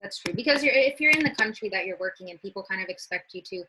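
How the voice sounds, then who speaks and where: A woman's voice: mid-range voice, lively intonation, fast talker, noisy background. A woman hosting an interview, curious and asking follow-up questions. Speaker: podcast host.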